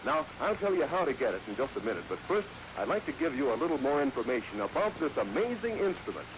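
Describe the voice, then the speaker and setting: A man's voice: mid-range voice, sing-song, quick pace. A man announcing a peppy 1940s radio commercial for children. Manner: peppy, salesman-like. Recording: hissy 1940s radio recording.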